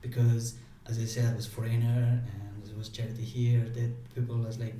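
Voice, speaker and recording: A man who is not a native English speaker, a guest giving casual interview answers in a halting way, with many restarts and fillers. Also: mid-range voice, monotone, mellow. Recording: echoey room, distant mic, faint noise.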